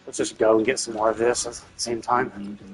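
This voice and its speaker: A man's voice: on the low side, little pitch movement, brisk, soft background music, low-quality recording. A man doing casual live game commentary, thinking aloud in a rambling way and swearing casually.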